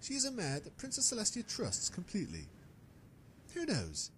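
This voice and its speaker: A knowing, cocky unicorn newshound played by a man in a fan audio drama. His voice is high for a man and swings very widely in pitch. There is faint noise behind him. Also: huge pitch swings, normal speed. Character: Column, cocky gossiping male unicorn newspaper reporter. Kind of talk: amateur audio drama dialogue.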